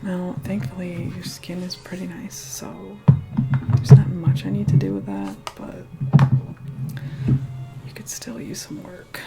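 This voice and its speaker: Woman acting as haughty female vampire, soft ASMR whisper, curt, bossy, condescending, clearly audible music behind. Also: mid-range voice, natural voice, rough recording. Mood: grudging, critical. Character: haughty, impatient immortal female vampire, reluctantly helpful. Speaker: ASMR role-play creator. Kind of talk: ASMR role-play, mostly whispered and soft-spoken. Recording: close whispered voice under loud music.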